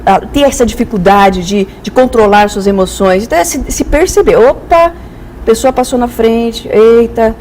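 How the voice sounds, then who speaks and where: A woman's voice: on the high side, a little mellow, slight background noise, poor audio. A Brazilian woman speaking as an expert guest in a conversational, didactic way, illustrating with acted-out examples. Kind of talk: conversational explanatory interview.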